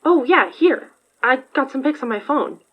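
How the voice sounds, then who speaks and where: A woman's voice: sits high, lively intonation, normal speed, highs cut off. A woman playing a friendly, casual young woman in a supernatural audio drama. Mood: relieved, casual.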